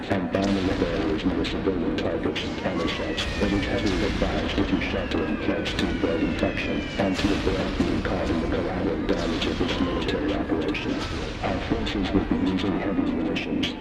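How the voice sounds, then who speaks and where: A man's voice: deep voice, close to an everyday voice, low-quality recording. A man playing a formal, detached coalition military announcer reading a scripted emergency warning to civilians. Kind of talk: scripted emergency broadcast announcement in audio horror.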